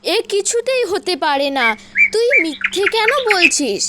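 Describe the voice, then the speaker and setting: A woman's voice: extremely high pitch, very animated, unhurried, very noisy, pitched above the performer's own voice, exaggerated voice. A woman voicing a strict, indignant father bird in a children's cartoon, agitated and scolding. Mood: disbelieving. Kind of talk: children's cartoon story voice acting. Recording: music behind the voice.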